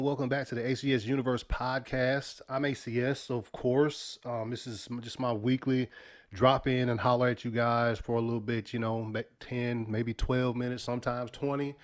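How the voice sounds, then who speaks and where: A man's voice: medium pitch, level tone, normal speed. A young man with a sniffly voice from sinus trouble, hosting a casual weekly news podcast in a loose, slangy way with lots of fillers. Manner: laid-back, loose slang, many fillers and restarts. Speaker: podcast host.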